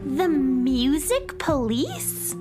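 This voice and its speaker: Casting: actress as curious young female space adventurer for kids' radio play, very high voice, unhurried, animated. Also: music in the background, heavily acted. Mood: alarmed. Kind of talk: children's audio drama acting.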